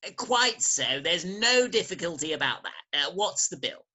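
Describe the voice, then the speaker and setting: A man playing a cocky, boisterous young gentleman in a Victorian farce reading, hearty and egging others on. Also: sits high, very animated, moderate pace, full character voice. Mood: flustered bluster. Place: recorded remotely at home over video call.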